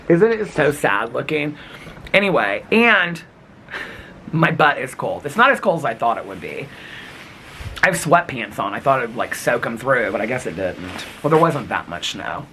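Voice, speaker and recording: A man vlogging outdoors in wind and snow, talking casually in a rambling, giggly way with a husky, crackly voice. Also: medium pitch, moderate pace. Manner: rambling, giggly, animated storytelling. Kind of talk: casual chatty vlog. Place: outdoors in windy snowy weather.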